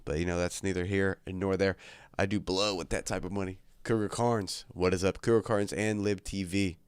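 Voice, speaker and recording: Laid-back young man, slightly congested, low voice. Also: fairly even intonation, moderate pace, smooth, dry recording. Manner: laid-back, crude, joking banter with chat. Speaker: livestream host. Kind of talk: casual livestream chat. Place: his bedroom at home.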